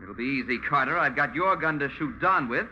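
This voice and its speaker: An actor playing a jealous, scheming young killer in a 1940s radio drama, sarcastic and menacing. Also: male voice, medium pitch, animated, brisk, close to an everyday voice. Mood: cold menace. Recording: hissy, muffled old radio recording.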